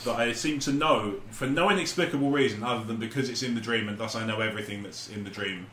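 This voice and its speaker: A man's voice: medium pitch, fast talker, sharp tone. A man chatting casually as a podcast host among friends, telling stories in a sweary, joking way. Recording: echoey room, faint background noise.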